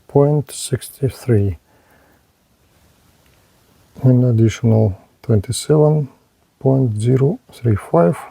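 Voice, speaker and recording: A man giving a hands-on product demonstration in halting short phrases, with non-native English phrasing. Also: medium pitch, creaky, warm tone, breathy edge. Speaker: radio reviewer.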